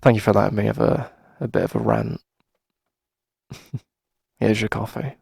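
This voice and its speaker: A man performs a friendly coffee shop owner for an ASMR roleplay. His voice sits fairly low, with heavy creak and a slightly husky edge. He chats casually and hesitantly, a little flustered, as if confiding in a regular. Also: steady tone, a little slow, a little mellow, dry recording, clean studio sound, exaggerated voice. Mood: apologetic, kind. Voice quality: creaky, gravelly. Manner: hesitant, chatty, sighing conversational delivery. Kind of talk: casual ASMR roleplay, chatty one-sided conversation.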